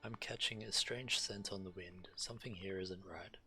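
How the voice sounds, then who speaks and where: A man's voice: sits low, steady tone, normal speed, bright, forceful tone, faint music behind. A man streaming a game, casually commenting and reading quest text aloud.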